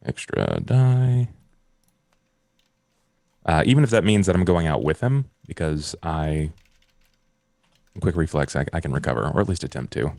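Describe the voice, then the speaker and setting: A man with an exceptionally deep voice plays a tabletop game. His pitch moves around a lot as he casually talks through his move, recorded dry. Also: sing-song, measured pace, gravelly, pauses often, darkish tone, no room sound. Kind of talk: casual chat, describing game actions.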